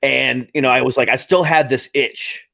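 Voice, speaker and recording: A man's voice: medium pitch, steady tone, moderate pace, vocal fry, bright, forceful tone. A man in his late thirties hosting a podcast, talking casually in a rambling way with many fillers and restarts. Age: 30s. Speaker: podcast host. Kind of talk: casual interview chat.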